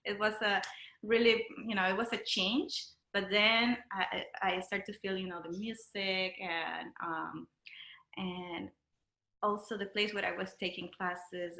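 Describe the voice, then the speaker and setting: A woman from Peru with a Peruvian Spanish accent, speaking casually and haltingly as she searches for words. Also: fairly low pitch, fairly even intonation, vocal fry, pushed and bright. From Lima, Peru. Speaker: interview guest, dancer and choreographer. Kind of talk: casual conversational interview. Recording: roomy echo.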